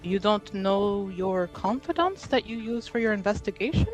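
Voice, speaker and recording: A woman's voice: medium pitch, expressive, normal speed, faint music behind, natural voice. A woman playing a poised young noblewoman in a tabletop game, formal and pointed in her questioning. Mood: skeptical. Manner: formal, skeptical, probing questions.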